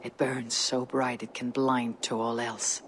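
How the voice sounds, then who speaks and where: An actress playing a calm, loyal woman viking raider who speaks in a low-key, earnest way. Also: a little slow. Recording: game cutscene with loud background noise.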